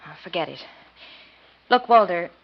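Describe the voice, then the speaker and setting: An actress playing a cool, guarded, scheming American femme fatale in a 1940s radio drama, speaking curtly with a seductive edge. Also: female voice, on the low side, expressive, moderate pace, creaky. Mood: tense, evasive. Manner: curt, guarded, coolly seductive. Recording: muffled 1940s radio recording.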